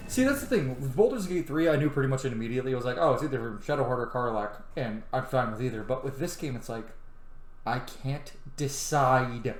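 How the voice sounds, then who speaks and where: A man's voice: mid-range voice, expressive, a little fast, faint music behind. Echoey man chatting through a game. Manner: gushing, opinionated, swears casually. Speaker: gamer commenting on a playthrough. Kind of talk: casual gameplay commentary. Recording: roomy echo, faint background noise.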